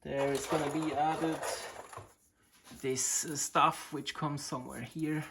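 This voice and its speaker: A man in his forties, a Swiss German native speaker with a Swiss German accent, hosting a casual hobby video in halting English with many fillers as he searches for words. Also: on the high side, little pitch movement, creaky, fairly bright tone, a little hiss or hum. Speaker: model rocket hobbyist, channel host. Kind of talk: casual show-and-tell video.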